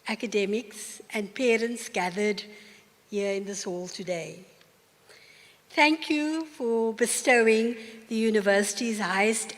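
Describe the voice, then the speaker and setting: An older woman with a South African accent giving a formal acceptance speech in a large university hall, measured and earnest. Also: medium pitch. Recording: long reverb of a large hall.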